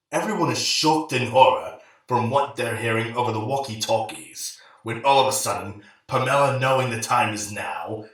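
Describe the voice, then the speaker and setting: A man reads scripted comic narration with a lively, swinging delivery. His voice is of middling pitch. The recording is very roomy and he sounds some way from the microphone. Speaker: narrator of an audio drama. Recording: very echoey, far from the mic.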